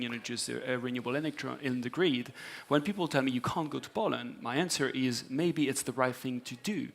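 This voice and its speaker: A man's voice: medium pitch, fairly bright tone. A man speaking off the cuff on a conference panel, arguing his point with frequent repeated filler phrases. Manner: filler-heavy, repeats "you know, just". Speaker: panelist, data center energy executive. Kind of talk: panel discussion, unscripted argument.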